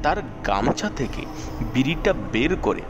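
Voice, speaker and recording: A man narrating a scripted ghost story in short, measured, suspenseful phrases. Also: medium pitch, very animated, background noise. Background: background music.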